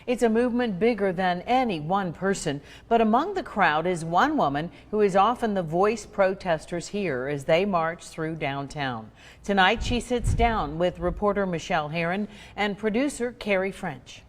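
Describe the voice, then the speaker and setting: A woman's voice: medium pitch, animated, moderate pace, long reverb tail. A woman anchoring a TV newscast, presenting scripted story introductions and follow-ups. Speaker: TV news anchor.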